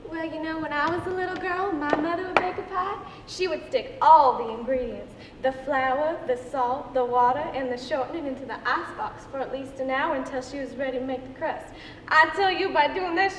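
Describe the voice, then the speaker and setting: A woman playing a mild, chatty older small-town woman, folksy and gentle, in an amateur stage reading. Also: sits high, normal speed. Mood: reminiscing. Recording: echoey hall, faint noise.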